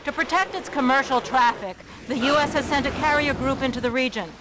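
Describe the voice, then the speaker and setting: A woman's voice: high voice, brisk, noisy background, natural voice. A woman playing a probing television war correspondent, with projected, pointed reporting delivery. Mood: urgent reporting. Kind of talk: television news report acting.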